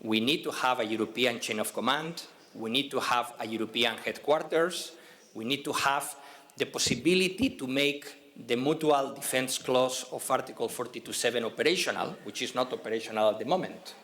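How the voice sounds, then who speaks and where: A man's voice: medium pitch, a little fast, a bit bright. A man with an ordinary mid-range voice speaks on a policy panel. He builds his argument through insistent repetition and firm statements. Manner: emphatic, repeats "we need to have". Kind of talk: conference panel remarks.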